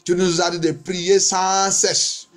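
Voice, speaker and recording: Male pastor, slightly high-pitched, for fervent prayer and preaching with insistent, chant-like repeated lines. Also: little pitch movement, takes it slowly. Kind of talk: fervent bilingual prayer and sermon.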